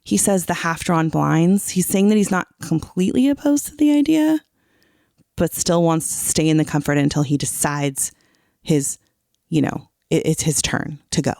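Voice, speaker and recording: Podcast co-host, mid pitch, fry in the voice, casual explainer who seeks confirmation, dry recording. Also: animated, normal speed, darkish tone, no echo. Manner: often checks that the listener follows. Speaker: teacher. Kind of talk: casual podcast chat, explaining song lyrics.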